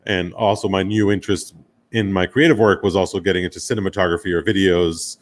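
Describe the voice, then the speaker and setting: A deep-voiced American man presents his own work to an audience online or at a conference. He talks informally and loosely, as if in conversation, with a low pitch throughout. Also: flat delivery, fast. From Miami, United States. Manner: conversational, casual, loosely phrased. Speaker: guest speaker, commercial photographer and director.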